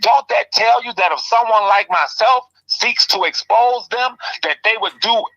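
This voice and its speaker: Impassioned high-voiced man ranting. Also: moderate pace, dull audio. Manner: impassioned, ranting, swings loud and soft. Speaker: commentary show host. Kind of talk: heated casual talk.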